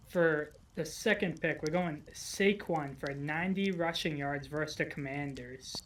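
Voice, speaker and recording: A man's voice: a little high, a little slow, fairly bright tone, slight background noise. Casual man sharing sports picks. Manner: colloquial. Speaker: podcast co-host giving betting picks.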